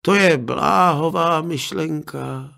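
A man voicing a cautious, motherly old maidservant in a fairy tale, speaking slowly and doubtfully. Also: fairly high pitch, vocal fry, pushed and bright, heavily acted. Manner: slow, doubtful.

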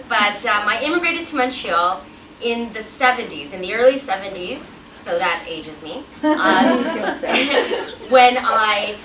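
A woman's voice: mid-range voice, animated, slow pace, crowd noise. A woman, giving a chatty personal talk as a guest speaker and asking the audience questions. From Pakistan. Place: event hall with a backdrop, indoors. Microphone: podium mic, filmed from a distance. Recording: roomy, distant, noisy hall sound.

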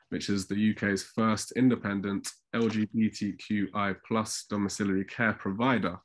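A man bunged up with a cold, sounding nasal as he chairs an online panel discussion over a video call. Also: lowish voice. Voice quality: nasal, congested. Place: indoors at home on a video call. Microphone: video call microphone.

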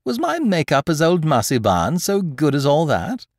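A suave gentleman thief with a mocking, patronizing swagger, as a male narrator puts him on. His lines swing widely in pitch and come across loud and bold. The sound is close and dry. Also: wildly swooping pitch, normal speed, dry recording, exaggerated voice. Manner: jaunty, patronizing, theatrical.

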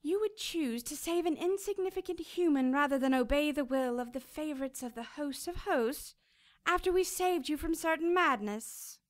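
A woman's voice: high voice, no room sound, clean studio sound, natural voice. A woman playing a cherub in a radio drama, a powerful angel who speaks in a formal, stately, haughty way. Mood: indignant, haughty. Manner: formal, stately, measured phrasing.

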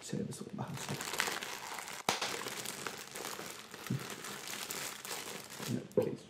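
A young man playing a rude, condescending facial therapist in an ASMR roleplay, speaking softly and breathily in a haughty, halting manner. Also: roomy sound, very noisy, natural voice. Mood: haughty, impatient. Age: young adult. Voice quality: soft, breathy, partly whispered. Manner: haughty, halting, drawn-out delivery. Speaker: ASMR performer playing a beautician. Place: bedroom, indoors.

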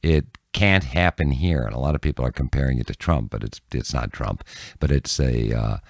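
An older man chatting casually as a podcast guest, telling stories in an animated way and repeating phrases for emphasis, close on the microphone. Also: a bass voice, moderate pace, creaky, no room sound. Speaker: podcast guest, TV news broadcaster. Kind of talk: casual chat, storytelling. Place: small indoor room with bookshelves. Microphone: close podcast microphone on arm.

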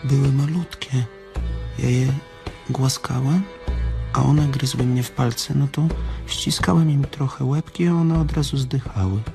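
A big, simple-minded farmhand in a radio drama, creaky and mid-pitched. He talks with naive, plaintive wonder over faint music. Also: measured pace, dark tone. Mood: wistful, childlike. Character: childlike, slow-witted big male farmhand.

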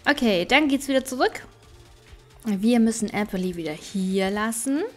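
A woman's voice: on the high side, lively intonation, normal speed. A woman gaming YouTuber giving casual, chatty let's play commentary, thinking aloud as she plays. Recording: music behind the voice.

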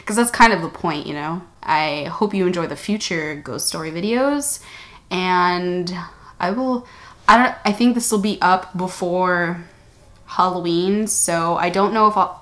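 A woman's voice: medium pitch, fairly bright tone. A young American woman in her twenties telling a story to camera in a small room, chatty and rambling, often correcting herself. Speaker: vlogger. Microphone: camera-mounted shotgun mic.

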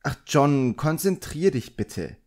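Man putting on a fussy, motherly woman, pitched up. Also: big pitch swings, takes it slowly, a little mellow. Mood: chatty, excited. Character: fussy, caring middle-aged woman, a magician's wife.

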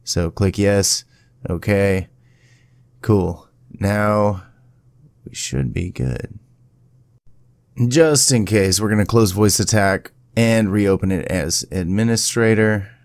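Low, gravelly man giving instructions. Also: takes it slowly, pauses often, dry recording, clean studio sound. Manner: informal, instructional. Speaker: video tutorial presenter. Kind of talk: casual step-by-step software tutorial.

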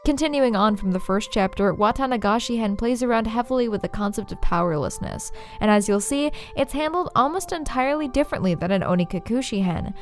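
Female video essay host. Slightly high voice, wry and playful, light music underneath. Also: lively intonation, brisk, hardly any pauses. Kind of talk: scripted video essay narration. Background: faint background music.

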